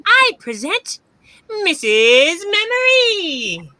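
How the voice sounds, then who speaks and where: A music hall manager in a vintage radio drama. He proclaims grandly in a high voice with big swoops, taking his time over each phrase. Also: wildly swooping pitch, very slow, sharp tone, a little hiss or hum, full character voice. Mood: grand, proclaiming. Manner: declamatory, theatrical. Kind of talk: 1930s radio play acting, stage announcing.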